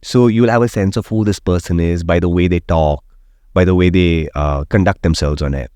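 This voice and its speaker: A man's voice: sits low, fairly even intonation, moderate pace, dark tone, clean tone, dry recording, clean studio sound. A radio jockey, explaining his views at length in an interview and often checking in with "right?". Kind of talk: opinionated interview conversation.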